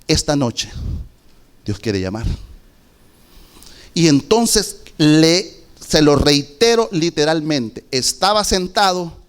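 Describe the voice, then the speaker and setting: A middle-aged man with a Salvadoran accent preaching a testimony sermon in a church, slow and emphatic, with sudden shouted peaks. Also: on the high side. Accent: Salvadoran Spanish.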